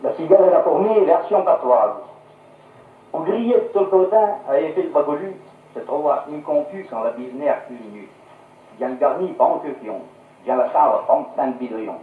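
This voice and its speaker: A man with a Savoyard accent giving an animated, theatrical comic recitation in local dialect, on a 1950s radio recording. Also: fairly high pitch, moderate pace, recorded from a distance. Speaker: patois reciter. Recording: echoey, narrow 1950s radio archive.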